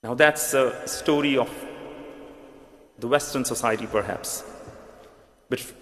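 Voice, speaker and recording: A man's voice: medium pitch, a bit bright. Indian-accented man preaching in an echoey church. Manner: earnest, measured preaching with restarts. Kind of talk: sermon. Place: large church hall. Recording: echoey church hall with long reverb.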